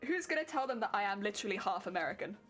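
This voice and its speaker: A young woman with a southern English accent chatting with her live viewers while sewing, self-mocking and quick to laugh. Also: mid-range voice, very animated, a bit bright, faint music behind. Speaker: streamer.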